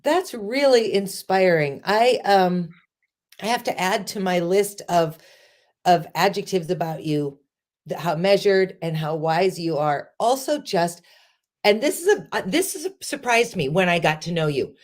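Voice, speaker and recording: A woman in her forties hosting a casual interview, animated and laughing often. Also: lowish voice, unhurried. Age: 40s. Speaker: host.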